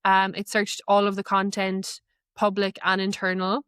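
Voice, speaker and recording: A woman gives explanatory answers as an interview guest. Her voice is smooth and clear at a middle pitch, with fairly even intonation, recorded dry. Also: moderate pace, no room sound. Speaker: guest, AI knowledge manager.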